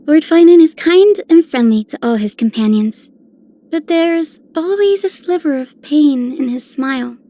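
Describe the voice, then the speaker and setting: A woman playing a kind, caring young healer in a video game, with a gentle, polite manner. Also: high voice, animated, unhurried. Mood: gentle concern. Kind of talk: scripted game character voicelines.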